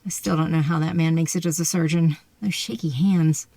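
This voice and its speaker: An actress playing a candid, wry surgeon in an audio drama, conversational and dryly critical. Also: female voice, low voice, fairly even intonation, fairly quick, heavy background noise. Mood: wry, casual.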